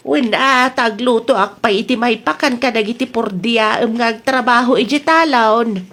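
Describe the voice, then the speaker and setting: A woman playing a chatty, evasive village wife in an Ilocano comedy audio drama, exclaiming and quick to retort. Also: a little high, fairly bright tone. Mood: casual, obliging.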